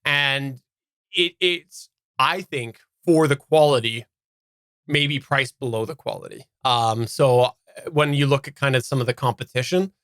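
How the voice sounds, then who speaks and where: A man's voice: medium pitch, unhurried, fairly bright tone, no room sound. A man from Canada giving a casual, unscripted product review as a podcast host, explaining in detail and stressing key words.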